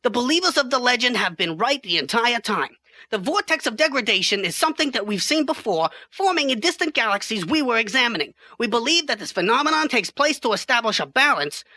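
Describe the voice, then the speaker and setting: A woman's voice: on the high side, expressive, fairly quick, bright, forceful tone, dry recording. A woman playing a long-winded male chief scientist explaining things earnestly in an amateur sci-fi radio play. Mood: earnest, explanatory.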